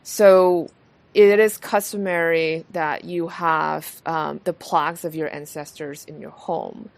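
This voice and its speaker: A woman's voice: sits low, fairly even intonation, slow, vocal fry. A woman from Taiwan hosting a podcast, chatting casually with many fillers and restarts. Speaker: podcast host. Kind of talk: casual conversation.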